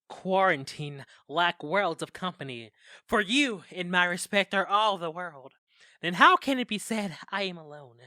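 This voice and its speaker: A woman's voice: on the low side, sharp tone, dry recording, studio quality. A woman playing a flustered, awkward young podcaster in a modern audio drama, nervous and stammering, easily smitten. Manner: stammering, nervous, breaking off mid-phrase.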